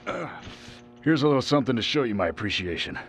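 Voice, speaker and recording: A man's voice: medium pitch, big pitch swings, fast. An actor playing a blunt, proud male deputy devoted to his workouts in a video game cutscene. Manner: blunt and proud, almost boastful. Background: game music.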